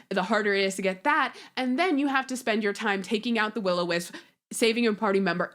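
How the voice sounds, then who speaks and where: A woman in a casual tabletop game discussion, explaining things with animated little acted asides. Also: medium pitch. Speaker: podcast guest.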